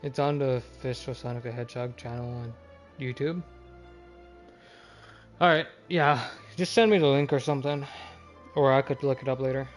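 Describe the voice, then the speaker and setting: A man livestreaming a game with casual, halting commentary as he thinks aloud. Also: mid-range voice, faint music behind.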